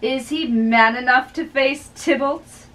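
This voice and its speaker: A young woman doing a halting dramatic play reading in a small bedroom, stumbling over and repeating lines. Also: high voice, fairly bright tone. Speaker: amateur play reader. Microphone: camera a few feet away. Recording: distant mic in a bedroom.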